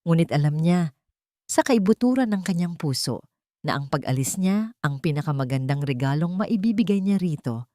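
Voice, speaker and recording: A Filipino woman tells a dramatic love story in a fairly low voice. Her delivery is solemn and emotive, with deliberate phrasing. The recording is dry and close, free of room sound. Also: animated, mellow, dry recording. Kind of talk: dramatic scripted romance narration.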